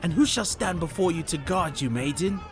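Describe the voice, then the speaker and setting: A man's voice: a little high, lively intonation, normal speed, voice pushed up. A man voicing a hostile, spiteful elf duelist in a fantasy storybook, with a contemptuous, accusing manner. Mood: spiteful challenge. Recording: narration over loud background music.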